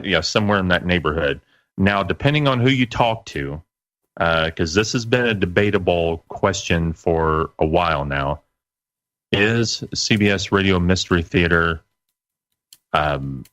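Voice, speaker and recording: Deep-voiced man in his late forties. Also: a little slow, fairly bright tone, dry recording. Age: late 40s. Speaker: guest, internet radio broadcaster. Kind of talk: casual interview chat.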